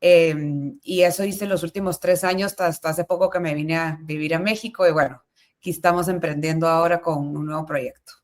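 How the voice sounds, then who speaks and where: Lower-voiced woman from Guatemala. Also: steady tone, fairly quick. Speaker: guest, venture capital entrepreneur. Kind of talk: casual interview talk.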